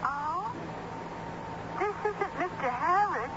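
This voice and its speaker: Secretive, perky lady helper, strikingly high pitch, muffled vintage radio mystery. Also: lively intonation, takes it slowly, full character voice. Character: perky, secretive female amateur sleuth. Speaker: actress in a radio drama. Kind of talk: vintage radio drama acting. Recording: noisy, muffled old radio broadcast.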